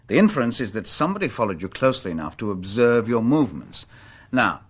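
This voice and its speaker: Police inspector, low husky voice, very animated intonation, wry, polite, probing, radio drama. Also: male voice. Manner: measured, probing, polite questioning. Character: wry, courteous male police inspector on a case.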